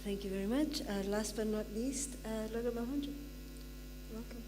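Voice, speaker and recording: Woman, panel moderator, brisk and polite, hall reverb, clear mains hum. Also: a little high.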